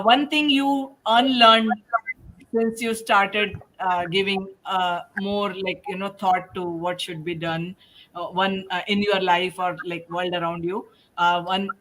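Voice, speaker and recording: A woman hosting an informal panel, speaking in a halting way and often breaking toward a laugh. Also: mid-range voice, steady tone, fairly bright tone, rough recording. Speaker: podcast host and panel moderator.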